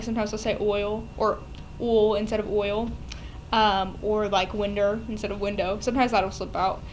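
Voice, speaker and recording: A woman from Kentucky with a light country drawl talks through interview answers in a chatty, reflective way. Her pitch sits in the middle range. Faint room ambience is heard behind her. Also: fairly bright tone, slight background noise.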